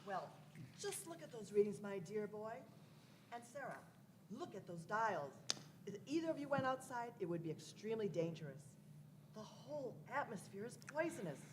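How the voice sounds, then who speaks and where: An elderly, self-assured time-travelling gentleman played by a woman speaks in a testy, grandfatherly way. The phrasing is fussy and old-fashioned, as in a live radio drama, with faint noise in the room. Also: mid-range voice, big pitch swings, moderate pace, close to an everyday voice. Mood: knowing, authoritative. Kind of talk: live radio play performance.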